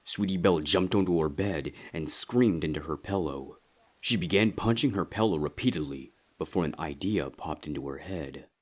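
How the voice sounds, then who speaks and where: A man reading scripted fan fiction as a dramatic story narrator, with a husky voice. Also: very deep voice, moderate pace.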